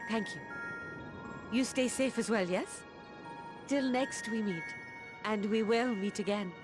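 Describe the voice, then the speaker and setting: A woman's voice: fairly high pitch, a little slow, lightly acted. An actress voicing an earnest, caring woman scholar in a video game, speaking in formal, precise language. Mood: gentle, caring. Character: earnest, caring woman scholar theorizing about mysterious energy. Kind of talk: scripted video game voice acting. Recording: background music under the voice.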